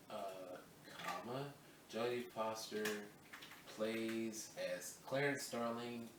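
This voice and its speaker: A man's voice: on the low side, noisy background. A man recording voice-over takes for a video essay. He chats casually between takes and restarts lines to correct his reading. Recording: roomy echo, distant mic, faint ambience.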